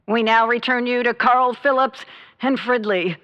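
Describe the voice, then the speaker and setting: A woman's voice: a little high, a little slow, big-room sound, lo-fi sound, over loud music, lightly acted. A woman playing a polished, grand 1930s radio station announcer. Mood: stately.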